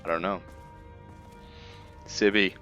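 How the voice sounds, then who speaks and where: Slow, low-pitched man narrating gameplay. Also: fairly even intonation, bright, forceful tone. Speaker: gamer narrating a roleplay playthrough. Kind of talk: casual game commentary with in-character reading. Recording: slightly dull mic, faint game music.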